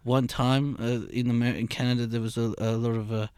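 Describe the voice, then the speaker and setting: A man chatting as a guest, speaking haltingly and searching for words, with many fillers and restarts. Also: medium pitch, little pitch movement, darkish tone, smooth, dry recording, studio quality. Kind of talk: casual chat.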